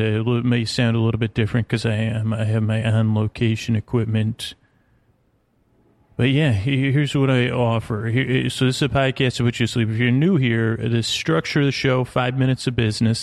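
Creaky-voiced man rambling a bedtime podcast. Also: mid-range voice, no echo. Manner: meandering, halting, drifting off on tangents, lulling. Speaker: podcast host.

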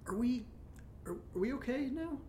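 A mellow-voiced, awkward man played by a male actor in a horror audio drama. He is hesitant and understated, with a soft dark tone over faint noise and rumble. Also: expressive, moderate pace. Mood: apologetic. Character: awkward, guilty, reserved male friend, dryly questioning.

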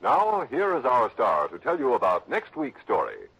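A man announcing the credits of a radio drama in a formal, measured manner. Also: medium pitch, wildly swooping pitch, creaky, a bit bright, a little hiss or hum. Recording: muffled old radio broadcast with music.

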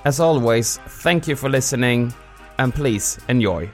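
A man's voice: medium pitch, animated, unhurried, soft background music. A man hosting a podcast, introducing the show and interviewing a guest. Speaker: podcast host.

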